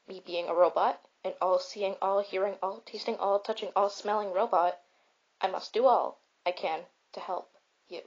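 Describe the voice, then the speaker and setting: A woman playing a caring but blunt, self-important robot in a comfort roleplay, speaking in a deliberate, declamatory way. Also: mid-range voice, lightly acted. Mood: earnest, grandiose. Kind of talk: comfort roleplay monologue with storytelling. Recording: slightly dull, raw home recording.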